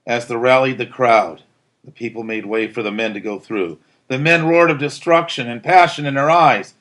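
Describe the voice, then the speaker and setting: A man narrates a story in a bright, forceful voice of middle pitch. His delivery is theatrical and emphatic, leaning on key words like a teacher performing for a class. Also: moderate pace. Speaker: teacher reading students' story aloud.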